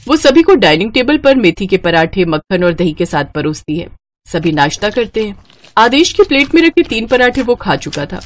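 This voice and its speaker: A woman narrator reading a scripted moral story. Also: medium pitch.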